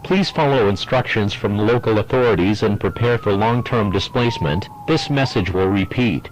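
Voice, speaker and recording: A stern, official emergency broadcast announcer played by a man with a fairly low voice. He reads a formal scripted alert in a measured, impersonal way, giving each phrase the same grave weight. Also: a little hiss or hum, lightly acted, rough recording.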